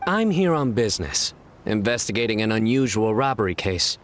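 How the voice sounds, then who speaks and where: A man voicing a serious, composed investigator in a video game, with a measured, deadpan delivery and dry humour. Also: fairly low pitch, a little hiss or hum.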